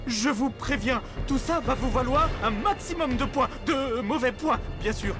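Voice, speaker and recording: Scolding cartoon henchman, high voice, stern, emphatic, menacing, music behind. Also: unhurried. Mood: stern, threatening. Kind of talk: French cartoon dub acting.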